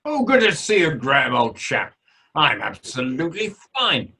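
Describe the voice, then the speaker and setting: Male actor as British property braggart: hearty, flirtatious, raspy, very animated delivery, amateur radio comedy-drama style. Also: mid-range voice, normal speed, full character voice. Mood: boastful. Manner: blustering, plummy old-chap heartiness. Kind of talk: amateur radio play acting. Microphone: video call.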